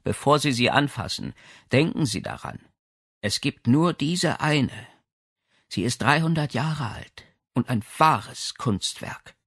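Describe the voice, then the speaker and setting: A man's voice: medium pitch, sing-song, takes it slowly, creaky, a bit bright, breathy edge, dry recording, studio quality, voice pushed up, heavily acted. A man voicing a haughty, imperious lady with a condescending, commanding way of speaking in an audiobook. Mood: proud. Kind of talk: audiobook character dialogue.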